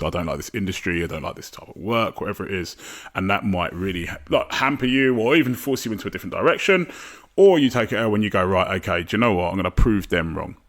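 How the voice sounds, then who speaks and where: Low-voiced man, chatty and rambling. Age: 40s. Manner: chatty, rambling, many fillers and restarts, self-deprecating. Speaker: podcast guest. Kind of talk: casual interview chat.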